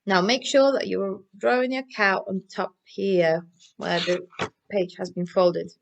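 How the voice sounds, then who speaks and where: Female children's storyteller: unhurried, encouraging, animated, interactive, mid-pitched, clear instructions for little listeners. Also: dull audio. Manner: animated, encouraging, addressing young children with questions. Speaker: children's storyteller and craft presenter.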